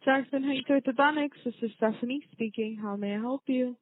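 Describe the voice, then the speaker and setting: A woman's voice: sits high, a little fast, no room sound. Welcoming female receptionist on a phone line. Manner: welcoming, positive. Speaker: orthodontic office receptionist. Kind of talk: customer service phone call, semi-scripted. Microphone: telephone. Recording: narrow, tinny phone line, dry.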